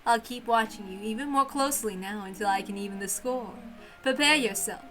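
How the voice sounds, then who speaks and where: A woman's voice: medium pitch, a little fast, fairly bright tone, natural voice, low-quality recording. A woman voicing a haughty, teasing, prank-loving witch in a theatrical visual novel read-aloud, mocking and grandly condescending. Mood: playfully threatening. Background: music.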